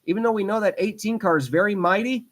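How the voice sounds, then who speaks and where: A man's voice: sits high, fast. A man in his twenties hosting a casual solo podcast, rambling with lots of fillers and restarts and talking like an enthusiastic fan. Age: 20s. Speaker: podcast host.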